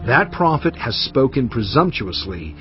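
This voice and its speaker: A man reading scripture as a dramatized narration, solemn, measured and emphatic in delivery. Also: mid-range voice, big pitch swings, dull audio. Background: cinematic music.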